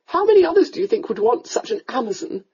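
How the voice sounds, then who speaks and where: Woman playing a fussy aristocratic mother, shrill, scolding, loud, husky tone, muffled lo-fi sound. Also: very animated, fast, heavily acted.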